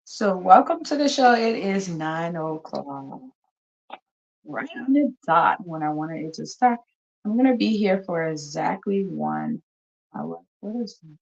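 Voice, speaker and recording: A woman's voice: lowish voice, expressive, a little slow, gravelly, dull audio. A woman hosting a live talk show, chatting casually and repeating herself as she greets viewers, with a cold. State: has a cold, coughing. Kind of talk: casual live-stream chat.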